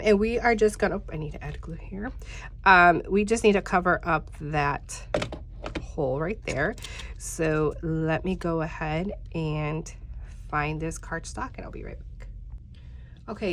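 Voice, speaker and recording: A woman in her thirties talks viewers through a craft project in a chatty, easygoing way. She sounds congested and slightly nasal, her voice sits a little low, and a faint noise floor hums under the close recording. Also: a bit bright. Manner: chatty, talks straight to viewers, many fillers. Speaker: crafting tutorial host. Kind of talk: casual step-by-step craft tutorial.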